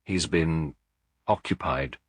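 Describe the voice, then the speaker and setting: A gracious young aristocratic hostess acted out by a man in a mystery audiobook. The voice is low and husky, lifting and falling freely, with formal, polite phrasing and a very dry, close sound. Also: slow, no room sound, heavily acted. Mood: guarded.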